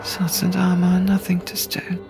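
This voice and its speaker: A woman's voice: lowish voice, monotone, normal speed, music in the background, close to an everyday voice. A woman voicing a guarded, skeptical young female diviner in an audiobook, speaking in a pointed, reserved way. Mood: hushed, guarded.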